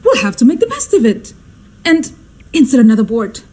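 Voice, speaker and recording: Woman playing a high-pitched, bossy society mother. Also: very animated, a little fast, a little mellow. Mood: agitated. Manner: exclamatory, commanding. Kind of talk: radio drama acting.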